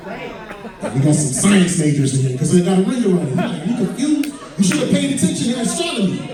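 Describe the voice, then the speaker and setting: A man's voice: high voice, sing-song, fairly quick, a crowd in the background. A man in his mid-forties performing stand-up comedy to an audience, with profane, conversational storytelling. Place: indoor comedy venue. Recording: very echoey live room, distant mic.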